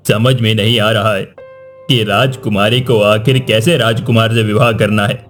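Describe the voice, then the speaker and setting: A dignified cartoon king and fatherly ruler , acted by a man. His voice is low, with light music underneath. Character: middle-aged king, dignified worried father. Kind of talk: cartoon story acting.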